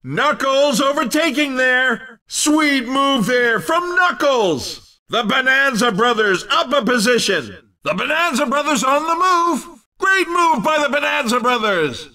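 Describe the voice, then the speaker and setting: A man playing an excitable, upbeat race commentator in a video game, calling the action in punchy exclamations. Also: very high-pitched, unhurried, distant mic, full character voice. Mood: excited, upbeat. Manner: punchy, exclamatory announcer delivery, often shouting. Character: excitable male arcade racing commentator, brash and upbeat.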